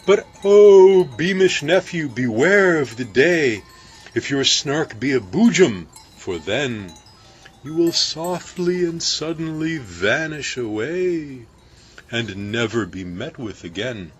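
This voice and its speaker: A man's voice: medium pitch, very animated, slow, breathy edge, dull audio, faint music behind, pitched above the performer's own voice, heavily acted. A man doing a tearful, timid baker. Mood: tearful pleading. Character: tearful timid male baker, anxious and rambling. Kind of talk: storybook poem reading.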